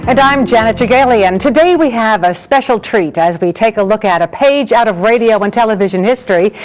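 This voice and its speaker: A woman's voice: on the high side, fairly quick, soft background music, rough recording. A woman presenting and interviewing as a talk show host, with polished, articulate delivery. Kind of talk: scripted introductions and interview questions.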